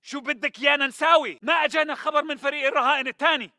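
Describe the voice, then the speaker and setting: A man's voice: very high voice, steady tone, racing, bright, forceful tone, dry recording, studio quality, heavily acted. A man playing a tense, impatient militant guard with a Levantine Arabic accent, giving urgent video game chatter. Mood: alarmed.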